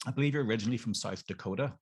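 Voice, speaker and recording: A man's voice: mid-range voice, very fast, darkish tone, breathy edge. An Irish man hosting an interview in a jovial, chatty way. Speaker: radio host. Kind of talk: casual interview chat.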